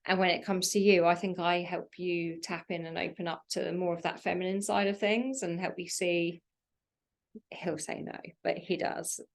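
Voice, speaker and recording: A woman's voice: fairly low pitch, fairly even intonation, moderate pace, vocal fry. A woman in her thirties hosting a podcast, chatty and prompting her guest with questions between laughs. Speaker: podcast host. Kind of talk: casual conversation.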